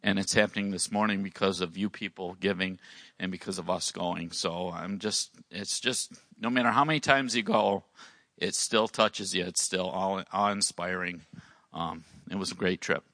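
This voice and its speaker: A man giving a testimony to his congregation, halting and full of restarts. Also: low voice, pushed and bright, no echo. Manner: halting, with many fillers and restarts. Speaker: church member reporting on a mission trip.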